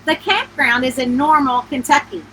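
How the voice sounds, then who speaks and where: Woman doing a businesslike lawyer guy in a novel, deliberate, not very sympathetic, highish bright voice. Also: lively intonation, slight background noise. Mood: matter-of-fact. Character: Stanley, matter-of-fact male lawyer delivering bad news.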